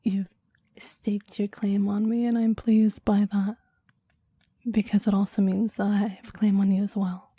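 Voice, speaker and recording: A woman voicing a possessive, devoted demon in an intimate ASMR roleplay, speaking in soft breathy whispers with a formal, intense, adoring manner. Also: medium pitch, little pitch movement, measured pace, no echo. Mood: yearning, adoring. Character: possessive, devoted clingy demon bound to a summoner.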